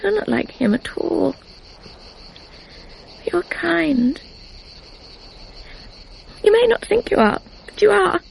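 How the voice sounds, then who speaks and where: A woman's voice: extremely high pitch, sing-song, slow pace, gravelly, pauses often, full character voice, poor audio. An actress playing an emotional, confessional British woman in love, with a breathless, intense manner in a radio drama. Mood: tearful, tender. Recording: faint noise, insects chirping, archive radio drama.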